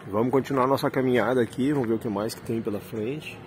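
A man's voice: medium pitch, fast, noisy background. A man, chatting casually to viewers in a vlog and pointing things out as he goes. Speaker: rideshare driver and travel vlogger. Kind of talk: casual walking-tour vlog.

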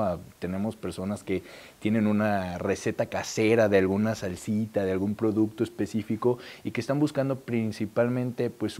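A man in his thirties with a Mexican accent speaks in a low, mellow voice. He explains his event fluently and persuasively, dropping in small fillers. The sound is clean studio audio from a lapel mic. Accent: Mexican Spanish. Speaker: event organizer. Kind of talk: studio interview.

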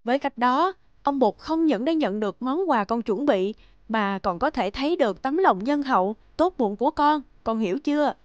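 A woman voicing a gentle, wise mother who explains things patiently and tenderly in an animated fairy tale. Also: high-pitched, normal speed, dry recording, dull audio.

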